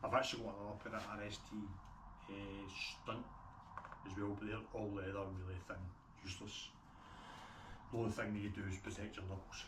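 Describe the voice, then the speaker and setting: Deep-voiced broad Scottish man, echoey room. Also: flat delivery, a little fast. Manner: broad dialect, plain-spoken. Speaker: motorbike gear reviewer. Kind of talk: casual unscripted product review. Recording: roomy echo, distant mic, clear background noise.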